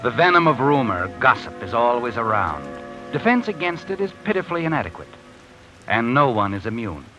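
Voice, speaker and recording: An actor playing a mysterious, all-knowing narrator who walks by night, in 1950s radio drama style over music. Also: male voice, mid-range voice, sing-song, brisk, lightly acted, rough recording. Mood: brooding. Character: mysterious male night-walking narrator spirit. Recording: old radio broadcast with music.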